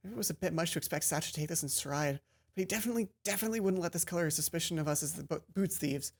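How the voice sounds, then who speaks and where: A woman let's play host reading a visual novel aloud in an expressive storytelling way, with casual asides. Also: on the low side, rapid-fire. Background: game music.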